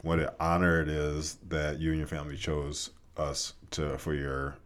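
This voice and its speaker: A man's voice: very deep voice, completely flat delivery, normal speed, fairly bright tone. A middle-aged man, a school superintendent, interviewing students in an encouraging, conversational way and often repeating his words. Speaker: school district superintendent, host.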